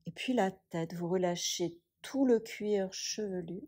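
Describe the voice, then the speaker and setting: Woman, very slow delivery, mellow breathy tone, soothing yoga nidra guidance, measured pauses. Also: mid-range voice. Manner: soft, soothing, measured instruction with long pauses. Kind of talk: guided relaxation meditation.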